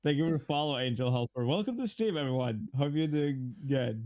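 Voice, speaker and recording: A playful man streamer with swooping, excitable pitch and a dry, close sound. He banters casually and swears. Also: brisk, no room sound.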